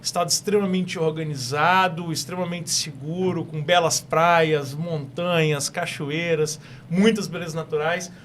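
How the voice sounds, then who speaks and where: A man's voice: on the high side, fairly even intonation, measured pace, few pauses, fairly bright tone, slight background noise. A young Brazilian man from Espírito Santo with an Espírito Santo accent, a guest on a talk show who speaks eagerly and often repeats or restarts his phrases. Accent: Brazilian Portuguese from Espírito Santo. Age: young adult. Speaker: guest entrepreneur.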